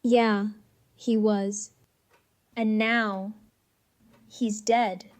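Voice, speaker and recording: Flat-voiced, blunt young woman. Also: mid-range voice, extremely slow, dry recording. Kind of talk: amateur audio drama acting.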